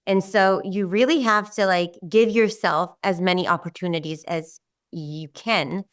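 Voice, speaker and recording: A middle-aged woman with an Israeli accent, speaking English as her second language, heard slightly away from the mic. Her voice is smooth and resonant. She rambles warmly, often restarting her sentences. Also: fairly low pitch, a little slow, distant mic. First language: Hebrew. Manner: rambling, warm, with many restarts and fillers. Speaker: guest. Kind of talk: casual interview talk.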